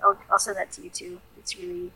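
A young woman co-hosting a podcast in casual chat. She rambles and restarts her sentences, with laughs between thoughts, on a rough home recording. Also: mid-range voice, sing-song, a little fast, bright, forceful tone, rough recording. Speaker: podcast co-host.

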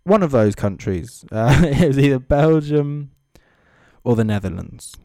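A man's voice: medium pitch, animated, a little slow, darkish tone, dry recording, clean studio sound. A man giving casual, off-the-cuff comedic commentary as a host, with sarcastic, mocking asides. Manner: sweary. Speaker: comedy host reading social media comments.

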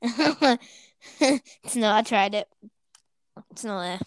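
A woman's voice: fairly high pitch, animated, extremely slow, dry recording, studio quality. A young woman hosting a casual chat, rambling with fillers between her questions.

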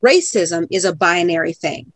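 A woman in casual conversation, speaking in a measured way and repeating phrases for emphasis. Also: fairly low pitch, animated, a bit bright, no room sound. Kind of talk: conversational discussion.

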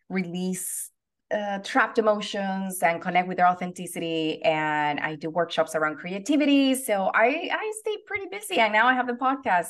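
A woman from Venezuela with a Venezuelan Spanish accent, answering interview questions in a bubbly, earnest way as a guest coach. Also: fairly low pitch. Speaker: soul purpose coach, guest.

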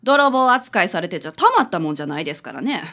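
A woman's voice: lowish voice, big pitch swings, quick pace, pushed and bright, full character voice. A woman playing a prim, haughty and easily offended client in a radio drama. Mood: indignant. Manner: prim, polite but huffy. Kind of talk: community radio drama acting.

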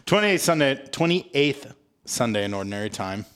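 A man's voice: medium pitch, sing-song, normal speed, fairly bright tone, clean tone. A man co-hosting a podcast in a casual, jokey, self-mocking and informal way. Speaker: podcast co-host. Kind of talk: casual scripture recap chat.

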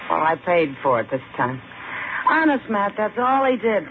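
An American actress playing a feisty, quick-tempered, wry frontier woman in a 1950s radio western. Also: mid-range voice, sing-song. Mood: rueful, embarrassed. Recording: tinny, noisy 1950s radio broadcast recording.

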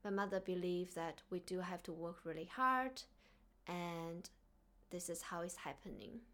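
A woman from China with a Chinese accent, speaking earnestly and softly as the host of a reflective solo podcast. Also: on the low side, measured pace.

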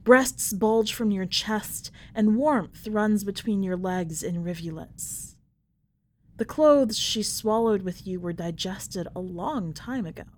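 A woman reading a literary short story aloud in a measured, deliberate way. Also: medium pitch, darkish tone. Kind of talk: scripted literary narration.

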